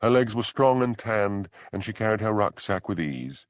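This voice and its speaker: A man's voice: fairly low pitch, animated, moderate pace. A man reading a novel aloud as a scripted audiobook narration.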